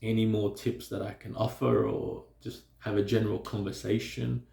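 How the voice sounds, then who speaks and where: A man's voice: fairly low pitch, flat delivery, normal speed, creaky, dark tone, reverberant. A man who stutters, talking reflectively to his viewers in a hesitant way, with many fillers and restarts. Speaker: vlogger. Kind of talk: reflective personal talk to camera.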